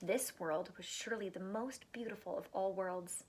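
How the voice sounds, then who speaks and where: A woman teacher reading a storybook aloud to children, expressive, with dramatic pauses and emphasis. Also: medium pitch, breathy edge.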